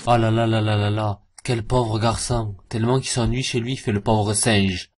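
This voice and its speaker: A man's voice: fairly low pitch, fairly even intonation, normal speed, heavy background noise. A man hosting an informal cartoon review, with a jokey, mocking and slangy way of talking. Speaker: video host. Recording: voice-over with music behind. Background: music.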